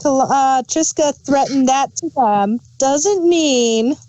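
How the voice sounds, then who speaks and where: A woman's voice: high-pitched, slow, dark tone. A woman bantering casually as a podcast co-host in a review discussion.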